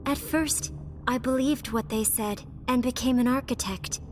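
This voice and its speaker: A woman playing a solemn, composed young resistance member in a video game, explaining in a measured way. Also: fairly high pitch, natural voice. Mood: sombre. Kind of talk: animated video game voice acting. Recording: music behind the voice.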